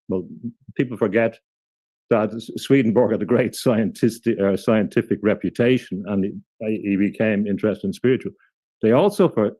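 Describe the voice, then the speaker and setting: A man giving thoughtful, halting explanations as an interview guest, often restarting his sentences. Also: lowish voice, mellow, no echo.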